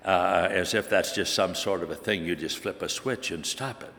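A man from Hungary, preaching a sermon with halting restarts and emphatic scripture quotes. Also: deep voice, fairly bright tone, slight background noise. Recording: long hall reverb.

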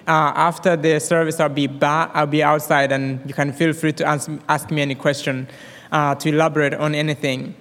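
A young man with a Rwandan accent tells his life story to a church congregation. He talks fast and eagerly, often stopping to restart a phrase. His voice sits a little high and carries a long reverb off the walls of a big hall. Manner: fast, excited, with many restarts. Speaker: guest speaker. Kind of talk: personal testimony in church.

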